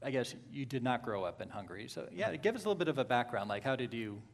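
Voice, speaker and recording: A man hosting a casual interview at a church gathering, asking relaxed questions. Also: mid-range voice, fast, vocal fry, in a large hall. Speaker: church host. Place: church hall.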